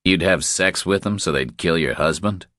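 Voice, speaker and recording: A man's voice: low voice, moderate pace, fairly bright tone, no room sound, clean studio sound. A man voicing a terse, stubborn, hard-bitten drifter in an audiobook, with a curt, firm delivery. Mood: curt, blunt.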